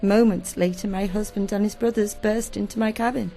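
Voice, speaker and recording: A woman acting a distressed, weary married shipwreck survivor in a radio play, recalling it haltingly and dramatically. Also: medium pitch, steady tone, vocal fry, mellow, natural voice. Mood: shaken, remembering. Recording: community radio play with faint music.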